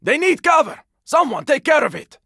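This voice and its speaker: A man playing a gruff, weary, sardonic squad fighter in a zombie video game, speaking in terse lines with a raspy voice. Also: very high voice, big pitch swings, normal speed, pushed and bright, dry recording, studio quality, full character voice. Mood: tense, alert. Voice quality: raspy, gravelly. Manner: terse, urgent, swearing. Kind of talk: video game character combat barks.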